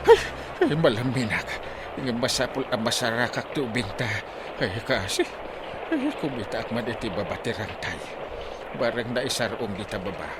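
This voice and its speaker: A man's voice: medium pitch, very animated, normal speed, very noisy, lightly acted. A man playing a flustered, bossy village uncle in a comedy drama, speaking urgently with an Ilocano accent. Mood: anxious muttering.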